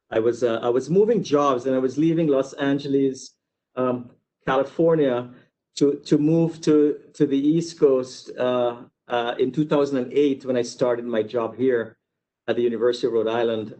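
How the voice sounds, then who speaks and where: Male researcher from Guyana, medium pitch, presenting an informal academic webinar with explanatory detours and repeated words. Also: a little mellow. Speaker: university researcher giving a webinar. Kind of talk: informal academic lecture with slides.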